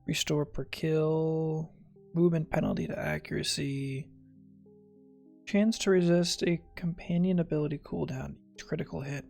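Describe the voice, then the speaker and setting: A man casually commentates over his own gameplay. His voice has a lot of vocal fry, and his remarks are wry and sarcastic. Faint music plays behind him. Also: on the high side, steady tone, moderate pace, a little mellow. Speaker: gamer streaming a playthrough. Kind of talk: casual game commentary, muttering and reading stats aloud. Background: game music.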